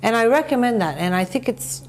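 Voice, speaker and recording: A woman hosting a conversational TV interview, telling anecdotes in an animated, emphatic way. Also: on the high side, brisk, a little hiss or hum. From North America. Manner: animated, emphatic storytelling with acted-out quotes. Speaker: talk show host.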